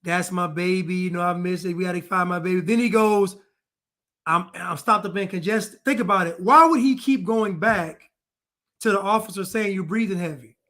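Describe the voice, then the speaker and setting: A man's voice: high-pitched, normal speed, a bit bright. A man talking to his viewers, breaking down a clip and asking pointed rhetorical questions again and again. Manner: emphatic, repeats rhetorical questions. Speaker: commentator. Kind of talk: true crime commentary.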